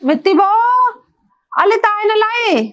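A cranky, insistent old mother-in-law with a thick childlike lisp, as a woman acts her out. Her voice is shrill and very high. The sound is dull and muffled. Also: lively intonation, takes it slowly, music in the background, heavily acted. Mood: nagging. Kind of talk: comic skit acting.

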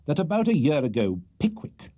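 A man's voice: fairly high pitch, very animated, normal speed, dark tone, lo-fi sound, pitched above the performer's own voice. A man voicing a pompous, bombastic barrister haranguing a jury with theatrical indignation in an audiobook of a Victorian comic novel. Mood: declamatory. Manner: theatrical oratory, indignant and swelling.

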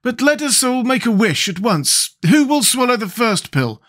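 A man's voice: extremely high pitch, big pitch swings, moderate pace, fairly bright tone, voice pushed up, exaggerated voice. A man voicing an earnest, fussy, critical living scarecrow in a storybook. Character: living male scarecrow, critical, protesting, humble about himself.

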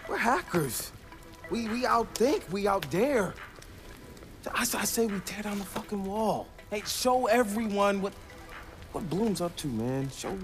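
A man's voice: high-pitched, fairly bright tone, heavily acted. A man playing a brash, fired-up young American hacker in a game, slangy, sweary and emphatic. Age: young adult. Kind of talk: video game cutscene acting.

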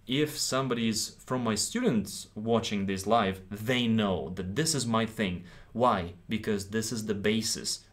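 A man in his thirties, a native Ukrainian speaker with a Ukrainian accent, teaching English in a live stream from a small room, encouraging and checking in with his viewers often. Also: medium pitch. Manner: encouraging, repeats himself, checks in with "okay?".

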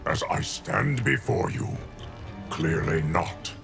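A man voicing an eternal guardian of time in a fighting game, solemn, formal and measured, with a resonant voice. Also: deep voice, animated, vocal fry, a bit bright, soft background music, exaggerated voice. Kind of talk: video game fight dialogue.

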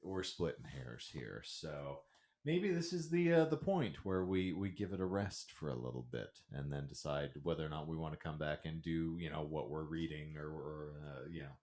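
A man's voice: a bass voice, lively intonation, normal speed, darkish tone. A man hosting a casual podcast in a wordy, theatrical, playful manner. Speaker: podcast host. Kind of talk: casual podcast banter with florid sign-offs. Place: small home room with couch, indoors. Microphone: podcast mic on a stand. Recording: roomy, echoey living-room sound.

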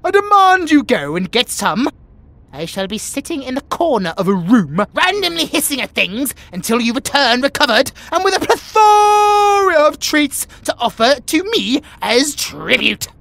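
A man's voice: extremely high pitch, very animated, moderate pace, creaky, a bit bright, no echo, heavily acted. A British man voicing a haughty, bratty but secretly affectionate young tiger boy in a comedic audio roleplay, with pompous, theatrical outbursts. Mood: outraged.

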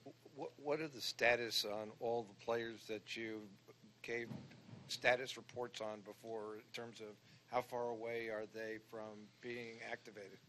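A man's voice: mid-range voice, level tone, measured pace, sharp tone. Off-mic male reporter with faint hum. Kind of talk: press conference question.

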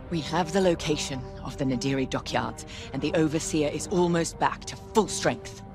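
An actress playing a composed, stern military officer in a video game, speaking in a measured, formal manner. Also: female voice, low voice. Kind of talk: video game briefing acting.

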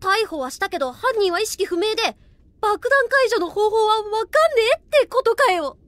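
An actress playing a brash tomboy anime girl who talks rough and impatient. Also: very high-pitched, lively intonation, moderate pace, a bit bright, slight background noise. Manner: crude, impatient.

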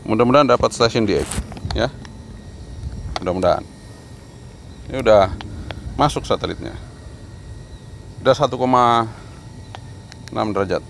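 A man's voice: lowish voice, normal speed, pauses often. A middle-aged man with an Indonesian accent making ham radio satellite calls outdoors, spelling call signs in the phonetic alphabet. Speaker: amateur radio operator. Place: outdoors on a rooftop. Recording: loud background noise, radio static outdoors. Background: loud ambient and radio noise.

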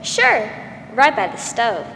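A young woman playing an eager, excitable twelve-year-old girl in a 1940s-style radio drama on stage. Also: a little high, slow, creaky, exaggerated voice. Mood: eager, curious. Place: large theatre stage, indoors. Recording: echoey stage hall, faint noise.

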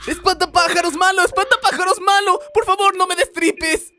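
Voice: very high voice, steady tone, moderate pace, bright, forceful tone. A performer voicing a small cocky boy rapper in a cartoon dub, with a boastful, juvenile manner. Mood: scared. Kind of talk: fan-dub cartoon acting in Spanish. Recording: music behind the voice.

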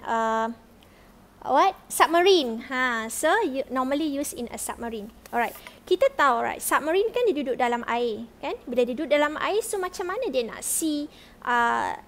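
A woman's voice: fairly high pitch, slight background noise. A woman in her thirties with a Malaysian accent, teaching a science lesson in a chatty way and often checking in with "alright?". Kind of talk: online classroom lesson mixing Malay and English. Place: school staffroom, indoors.

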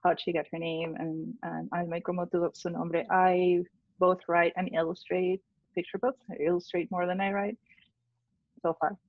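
A woman's voice: lowish voice, level tone, normal speed, dry recording. A woman illustrator from Peru introducing herself in a plain, matter-of-fact way on a video call. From Lima, Peru. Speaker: author and illustrator. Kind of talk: self-introduction on a panel. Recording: tinny phone-like video-call audio.

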